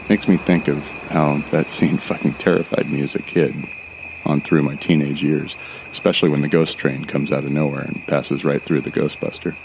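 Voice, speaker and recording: A man's voice: very deep voice, vocal fry, noisy background. A man playing an uneasy but determined, wry explorer, narrating a first-person horror audio drama in a confiding, colloquial way. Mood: uneasy, resolute. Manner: descriptive, confiding, colloquial with swearing.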